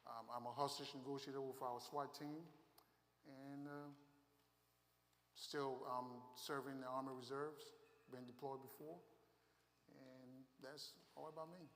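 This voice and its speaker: A man's voice: medium pitch, flat delivery, unhurried, long pauses, fairly bright tone. A man from Liberia, a sheriff's deputy, giving a conversational safety training talk with fillers. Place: church hall. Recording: long hall reverb.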